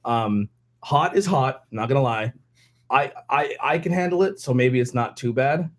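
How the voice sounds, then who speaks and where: A hoarse young man hosting a casual podcast from a home kitchen, rambling with lots of fillers. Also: mid-range voice, expressive, moderate pace. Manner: rambling, loose, many fillers. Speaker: podcast host. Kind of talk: casual chat. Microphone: headset and desk mic.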